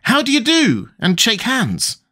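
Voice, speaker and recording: A man voicing a fat, contrary little man with a high, emphatic, blustering voice in a storybook reading. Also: wildly swooping pitch, unhurried, bright, forceful tone, exaggerated voice.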